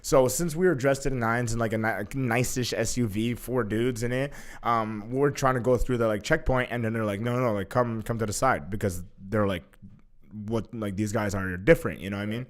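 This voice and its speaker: Young man, slightly low voice, casual storytelling, animated, lots of fillers, swears freely. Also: moderate pace. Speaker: guest.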